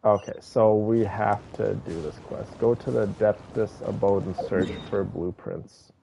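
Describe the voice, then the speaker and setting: Low, mellow-voiced male game streamer. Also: fairly even intonation, a little slow, gravelly, a little hiss or hum. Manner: effusive, chatty, addresses viewers directly. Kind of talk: casual livestream game commentary. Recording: dull, band-limited stream audio. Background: music.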